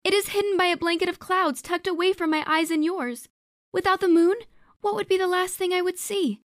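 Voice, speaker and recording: A man's voice: very high voice, steady tone, moderate pace, creaky, fairly bright tone, no echo, clean studio sound, heavily acted. A man reading a story and voicing a frightened young woman performer who stammers and pleads.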